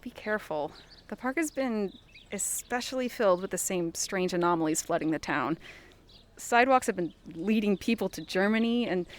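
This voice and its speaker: A woman's voice: mid-range voice, lively intonation, normal speed, close to an everyday voice. A woman playing an earnest small-town reporter in an audio drama, straight-laced, with a dry, probing manner. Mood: earnest, wry. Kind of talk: scripted audio fiction acting.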